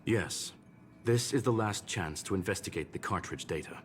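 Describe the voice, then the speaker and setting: An actor voicing a learned, formal gentleman robot in a video game, with courteous, measured phrasing. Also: sits low, close to an everyday voice. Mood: calm, explanatory. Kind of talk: scripted video game dialogue. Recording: game music behind.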